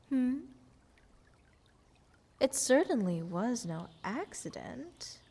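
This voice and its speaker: A woman's voice: mid-range voice, dark tone, slightly rough, heavily acted. A woman playing a playful, selfish goddess in a fantasy audio roleplay, talking down to a little mortal in a teasing, condescending way with whispered phrases. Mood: probing, teasing. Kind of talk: scripted fantasy audio roleplay addressed to the listener. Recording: long hall-like reverb.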